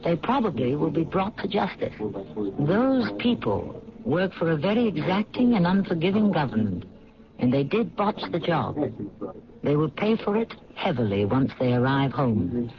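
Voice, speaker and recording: Portentous, higher-voiced male radio mystery host. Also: sing-song, a crowd in the background. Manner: formal, deliberate, portentous. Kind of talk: scripted dramatic narration. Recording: dull old radio broadcast.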